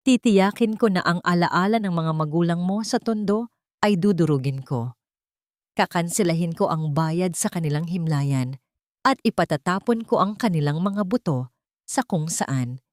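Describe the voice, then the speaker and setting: A woman's voice: fairly low pitch, a little mellow, no echo, studio quality, close to an everyday voice. Woman acting a haughty, venomous rich older matriarch. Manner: scolding, threatening, haughty. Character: cruel, domineering rich older mother-in-law, venomous.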